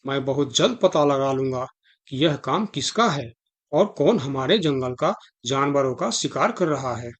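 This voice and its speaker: Lion king of a cartoon jungle, played by a man: authoritative, reassuring, formal, medium pitch. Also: expressive, measured pace. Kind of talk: Hindi children's cartoon dialogue.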